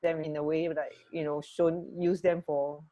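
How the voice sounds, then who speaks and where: A woman's voice: low voice, little pitch movement, normal speed, mellow, highs cut off. A woman co-hosting a casual radio chat, phrasing things a little hesitantly. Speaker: radio co-host.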